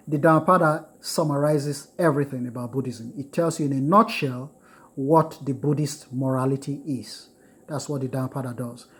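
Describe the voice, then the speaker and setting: A man giving an informal lecture, earnest and repetitive, with many filler pauses. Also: mid-range voice, darkish tone, husky. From United States. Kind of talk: informal recorded lecture on Buddhism.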